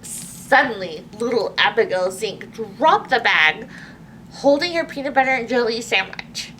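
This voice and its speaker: Woman, children's read-aloud, unhurried pace, bright tone, expressive, addressing young kids, faint background noise. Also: fairly high pitch, distant mic, poor audio. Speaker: librarian hosting children's story time.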